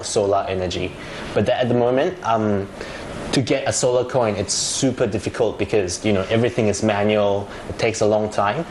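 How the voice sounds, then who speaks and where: A man from Thailand explains a technical product in plain words, stopping now and then for fillers. His voice is fairly low. The room sounds echoey and noisy. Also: darkish tone. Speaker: software developer. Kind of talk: casual interview answers.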